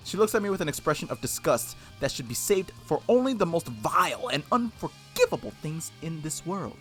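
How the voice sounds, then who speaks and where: A man reading a visual novel aloud as a game streamer, expressive, with casual slangy reactions. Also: a little high, brisk, faint music behind. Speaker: game streamer, let's-play host.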